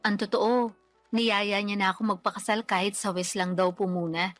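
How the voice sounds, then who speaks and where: A woman's voice: medium pitch, flat delivery, normal speed, fairly bright tone, soft background music, lightly acted. A young woman playing a daughter and young mother in a radio drama, polite, earnest and pleading. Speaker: daughter character.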